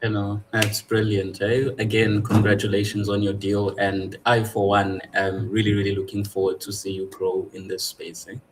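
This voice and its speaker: A man on a judging panel giving slow, deliberate and encouraging feedback to an investor. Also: on the low side, monotone, slight background noise, poor audio. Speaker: panel judge. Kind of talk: live online panel feedback.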